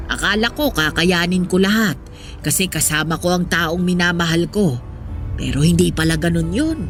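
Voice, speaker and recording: A woman playing an old, poor Filipino mother in a radio drama, wistful and gently wise, speaking in an earnest, reflective way. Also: lowish voice, normal speed, lightly acted. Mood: rueful. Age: older. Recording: music behind the voice.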